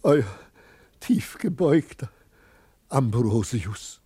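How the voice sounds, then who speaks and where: An actor playing a middle-aged, effusive artist father in a theatrical radio play, declaiming grandly in a husky voice. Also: mid-range voice, animated, extremely slow, dark tone, exaggerated voice. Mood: despairing lament. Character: proud, rapturous father Ambrosius, besotted with his artwork.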